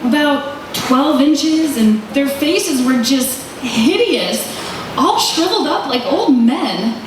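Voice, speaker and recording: A woman's voice: high-pitched, animated, slow, distant mic. A woman playing a frantic, indignant, exasperated young star reporter in a 1940s-style radio drama. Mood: alarmed, insistent. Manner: exasperated, sharp-tongued, insistent. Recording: roomy, noisy live stage recording from afar.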